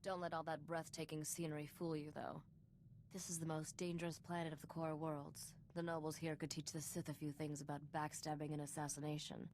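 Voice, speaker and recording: Shrewd sardonic young smuggler lady, video game, really low dry knowing voice, bit of background noise. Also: little pitch movement, brisk, a little mellow. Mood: wry warning. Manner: dry, knowing, self-possessed.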